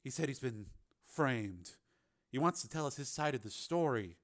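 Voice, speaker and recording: A guarded female news reporter in an audiobook, voiced by a man, speaking quietly and firmly. The delivery is tense and restrained, with steady determination. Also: mid-range voice, moderate pace, natural voice. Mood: calm insistence. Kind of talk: acted audiobook dialogue.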